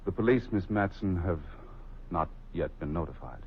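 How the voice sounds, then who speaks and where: An actor playing a suave, flirtatious wealthy shipping millionaire in a vintage radio drama, speaking in a polished, flowery manner. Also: male voice, sits low, expressive, moderate pace, husky, full character voice. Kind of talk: 1940s radio acting. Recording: dull old radio recording.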